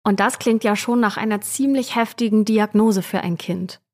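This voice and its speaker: A woman's voice: mid-range voice, moderate pace. A woman narrates as co-host of a true-crime podcast. Her delivery is scripted yet conversational, like storytelling aimed straight at listeners.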